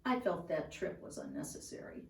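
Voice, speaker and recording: A woman reading a children's picture book aloud in a measured, deliberate storytelling manner, recorded at home. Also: low voice, wildly swooping pitch, gravelly. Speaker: storybook reader. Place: indoors at home. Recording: roomy home recording, distant mic.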